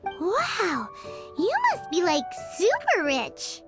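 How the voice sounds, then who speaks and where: A woman voicing a tiny, childlike, excitable fairy companion with a squeaky voice in a video game. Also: takes it slowly, gravelly, over music, heavily acted. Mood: excited.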